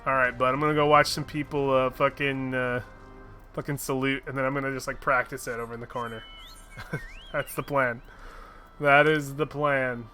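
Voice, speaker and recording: A man's voice: medium pitch, flat delivery, moderate pace, bright, forceful tone, faint music behind. A man streaming a fantasy game, chatting casually, joking, swearing and reading quest lines aloud. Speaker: gaming streamer. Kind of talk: casual gameplay commentary.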